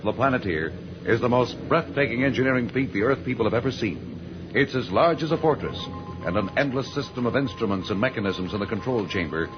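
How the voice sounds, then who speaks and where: A man giving grand, declamatory scripted narration as the announcer of an old-time radio serial. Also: medium pitch. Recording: dull old radio recording with music.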